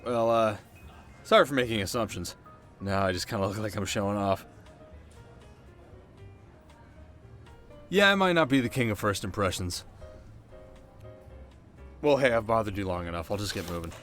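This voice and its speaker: A man's voice: fairly low pitch, sing-song, a little fast, pauses often, fairly bright tone. A man playing a cocky, teasing delinquent teenage boy in an ASMR roleplay, talking casually and wryly straight to the listener. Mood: apologetic. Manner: casual, wry, sarcastic, sometimes flustered. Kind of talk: ASMR audio roleplay, one-sided dialogue to listener. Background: music.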